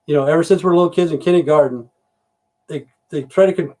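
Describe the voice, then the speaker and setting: Rambling profane man with a mellow voice. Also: on the high side, quick pace. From Idaho, USA. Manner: profane, rambling, frequent "you know". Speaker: livestream host. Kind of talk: rambling casual livestream chat.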